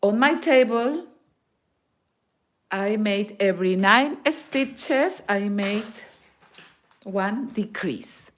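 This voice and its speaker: Peruvian woman, native Spanish, for a knitting tutorial; very slow, patient delivery, counts stitches out loud. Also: medium pitch. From Peru. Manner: mixes in Spanish words. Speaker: knitting teacher.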